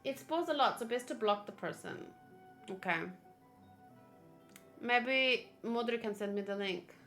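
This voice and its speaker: A chatty woman streaming her reaction to a game, rambling with many fillers. Her voice is bright and sits a bit high. Faint game music plays behind her. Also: a little slow. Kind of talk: casual live game-reaction chat.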